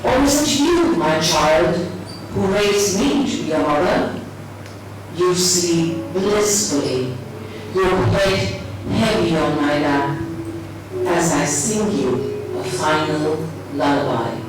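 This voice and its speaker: Female reader, lowish voice, very slow poetic monologue over music, heavy room echo. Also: lively intonation, noisy background. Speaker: reader at a dramatised book reading. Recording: echoey hall, distant mic, music behind.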